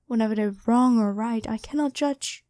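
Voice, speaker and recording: A woman playing a kind angel medic and former nun in an ASMR roleplay, speaking gently and hesitantly to the listener. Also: a little high, moderate pace, lightly acted. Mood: patient, earnest. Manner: gentle, soft-spoken, apologetic, hesitant.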